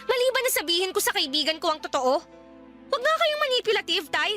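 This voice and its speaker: Sharp, high-voiced resentful Filipina daughter. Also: female voice, big pitch swings, quick pace, faint music behind, full character voice. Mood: accusing. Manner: confrontational, sarcastic. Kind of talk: Filipino radio drama acting.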